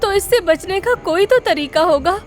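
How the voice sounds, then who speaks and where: Emotional young-hearted village wife, female actor, very high urgent voice, pleading yet determined, cartoon drama. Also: expressive, moderate pace, faint music behind. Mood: anxious. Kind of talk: Hindi cartoon story acting.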